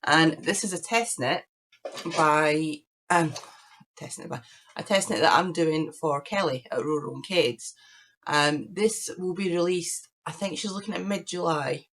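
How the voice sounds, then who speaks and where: Croaky-voiced woman chatting about knitting. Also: deep voice, a little slow, fairly bright tone. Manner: chatty, rambling, shows knitting to camera. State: croaky voice from illness. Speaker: podcast host. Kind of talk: casual crafting chat to camera.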